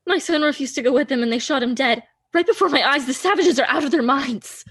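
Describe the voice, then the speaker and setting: A woman's voice: high voice, fast, a bit bright. A woman playing a horrified, grieving village mother in a stage play reading. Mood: grief-stricken.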